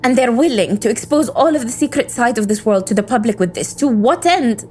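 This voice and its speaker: A fairly high-voiced female agent played by a woman in an audio drama, embittered and defiant. She speaks with weary intensity and a sardonic edge, over a light bed of music. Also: expressive, a little fast, darkish tone, natural voice. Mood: sardonic, tense. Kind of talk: scripted audio drama monologue and dialogue.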